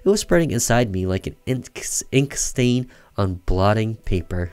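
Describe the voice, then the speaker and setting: A man's voice: on the low side, big pitch swings, normal speed, darkish tone, clear, resonant tone. A man doing casual gameplay commentary and reading on-screen story text aloud. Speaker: gamer doing a let's play, reading game text aloud.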